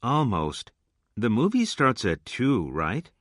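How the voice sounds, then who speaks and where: A man playing a friendly junior high school student in scripted English listening test material, enunciating carefully. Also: huge pitch swings, slow, no room sound, clean studio sound, exaggerated voice. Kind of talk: scripted English lesson dialogue.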